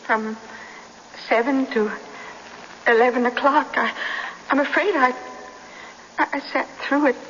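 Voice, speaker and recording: An actress playing a prim, flustered middle-aged spinster in a radio drama, stammering and over-genteel. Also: female voice, sits high, fairly even intonation, a little slow, long pauses, fairly bright tone. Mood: embarrassed, hesitant. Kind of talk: 1970s radio drama acting. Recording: dull, noisy old radio broadcast, reverberant.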